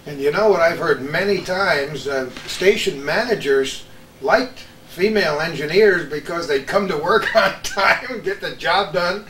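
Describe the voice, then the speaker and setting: A middle-aged or older man hosts a casual reminiscing chat, given to chuckling as he talks. His voice sits on the high side. The sound is roomy and a bit distant from the mic, with faint noise. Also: unhurried, a bit bright. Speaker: host.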